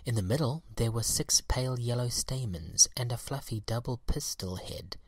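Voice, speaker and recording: Husky male storybook narrator, dry sound. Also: medium pitch, animated, moderate pace, a little mellow, no room sound. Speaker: storybook reader. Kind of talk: scripted storytelling narration of a children's tale.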